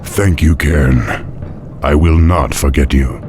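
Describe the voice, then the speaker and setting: An actor playing a young orc war chief in a fantasy game, noble, earnest, grave and resolute. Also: male voice, very deep voice, takes it slowly, over music.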